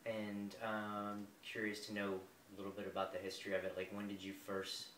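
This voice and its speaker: A man's voice: on the low side, completely flat delivery, moderate pace, a bit bright, a little hiss or hum. A man interviewing someone, asking short, probing questions. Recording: echoey room, distant from the mic.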